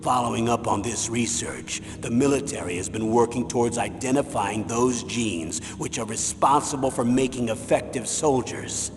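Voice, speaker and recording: A man acting as a grave, authoritative older military commander in a video game, with a mid-pitched voice. His briefing is measured and serious, heard through long reverb and clear background noise. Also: close to an everyday voice. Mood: solemn explaining.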